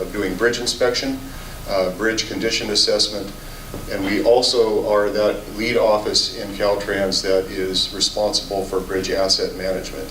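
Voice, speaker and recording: A man with a hoarse voice from a cold, giving a measured, technical presentation at a public meeting, with frequent filler sounds. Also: on the low side, a bit bright. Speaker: state bridge inspection office chief. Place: community meeting hall. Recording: echoey hall, distant mic, faint noise.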